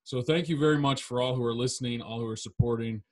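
A man's voice: mid-range voice, fast talker. A man in his thirties hosting a podcast from a home study, talking in a friendly, upbeat way with a few fillers. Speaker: podcast host. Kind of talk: casual podcast chat. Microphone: large podcast mic on stand.

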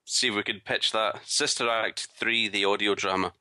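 A man's voice: lowish voice, fairly even intonation, normal speed, bright, forceful tone, no echo. A man co-hosting a podcast in casual, wry, joking chat. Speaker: podcast co-host.

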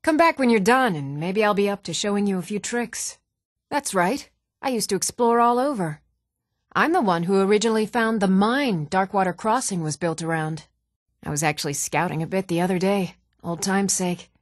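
A woman's voice: mid-range voice, expressive, moderate pace, no room sound, studio quality, lightly acted. A woman playing a seasoned, plucky former adventurer in a fantasy video game, frank and eager. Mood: eager, nostalgic.

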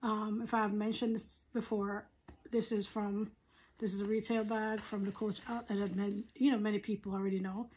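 A woman with a hoarse, husky voice, giving a casual, rambling product review as a vlogger at home. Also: mid-range voice, flat delivery, moderate pace, a little mellow, slight background noise, poor audio. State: hoarse, recovering from surgery. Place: living room at home.

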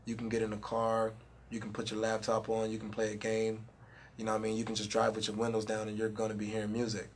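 A man's voice: lowish voice, monotone, fast talker, a little hiss or hum. A young man, a rapper, chatting casually in an interview with a handheld mic in a studio room, laid-back and full of "you know what I mean". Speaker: rapper guest.

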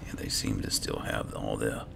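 A man's voice: rumbling low, normal speed. A man muttering sparse remarks as he gives roleplay commentary over a video game. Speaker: gaming host. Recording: clearly noisy game ambience behind. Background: game ambience.

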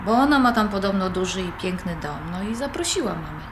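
Woman acting a young granddaughter, independent-minded, conversational, gently challenging, mid-pitched, audio drama, roomy sound. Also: lively intonation, brisk, natural voice. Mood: protesting. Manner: conversational, questioning, mildly protesting. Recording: roomy recording with background noise.

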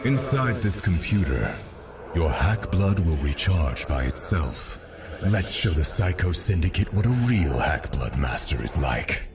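A man voicing a solemn spirit mentor in a video game, speaking in a grave, deliberate, portentous way. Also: low voice, very animated, recorded from a distance, music in the background. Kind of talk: video game cutscene voice acting.

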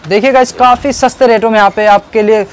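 A man with a fairly high voice and a Delhi Hindi accent tours a wholesale shop, calling out prices to his viewers. He speaks into a handheld camera mic, and faint street traffic is heard behind him. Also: fairly even intonation, quick pace. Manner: repeats prices to viewers, addresses them as guys. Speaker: market vlogger. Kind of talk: casual market tour commentary. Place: small crowded wholesale shop, indoors.

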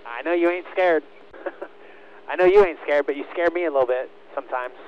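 A man's voice: fairly high pitch, moderate pace, muffled recording, slight background noise. A man working as a race spotter, speaking in short, repeated commands over a team radio. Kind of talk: urgent radio spotting calls to a driver.

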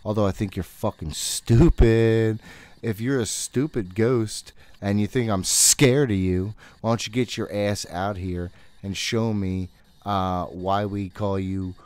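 A man's voice: lowish voice, fairly even intonation, slow pace, darkish tone, dry recording. A man in his thirties giving casual, jittery, profane gameplay commentary, often talking to himself. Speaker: gaming host. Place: room at home.

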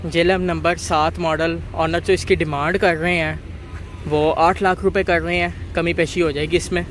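A man walking viewers around used cars at an outdoor bazaar, listing their features in a steady, repetitive way. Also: on the high side, normal speed. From Pakistan. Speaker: car market video host. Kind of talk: walkaround car review for viewers. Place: outdoor car bazaar, dusty lot. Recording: outdoor market noise behind.

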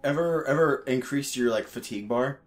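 A man's voice: medium pitch, a little fast. A man in casual let's play chat, asking questions in a hesitant way as a co-commentator. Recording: faint background game noise. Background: video game sound.

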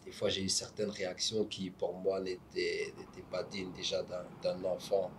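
Low-voiced young man, halting. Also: monotone, slight background noise. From Belgium. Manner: hesitant, restarts often, adds "tu vois". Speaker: guest. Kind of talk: casual interview talk. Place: hotel lounge, indoors.

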